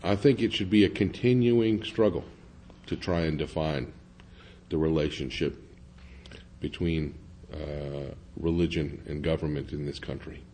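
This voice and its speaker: Deep-voiced hesitant man on a panel. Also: unhurried, warm tone, slight background noise. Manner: hesitant, many fillers and restarts. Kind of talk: public forum discussion.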